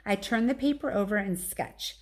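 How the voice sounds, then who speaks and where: Expressive woman reading a children's story. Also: medium pitch, normal speed. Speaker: teacher reading aloud.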